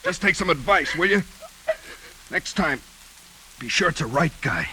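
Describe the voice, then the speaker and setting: A man's voice: fairly high pitch, expressive, measured pace. A man playing a world-weary, bitter crook who talks in terse slang in a 1940s radio drama. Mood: resigned. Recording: very noisy crackling 1940s radio recording.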